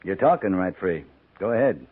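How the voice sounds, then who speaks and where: Low-voiced, tough cavalry captain. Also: male voice, expressive, moderate pace, husky, narrow, tinny audio. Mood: calm, probing. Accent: American. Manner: firm, laconic, commanding. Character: steady, tough, plain-spoken US cavalry captain. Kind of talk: 1950s radio western acting. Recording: band-limited 1950s radio recording.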